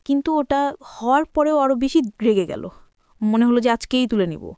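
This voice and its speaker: A woman's voice: high voice, animated, moderate pace, warm tone, clear, resonant tone, no room sound, clean studio sound. A woman of twenty-three with a Bangladeshi Bengali accent, telling her personal life story as an interview guest.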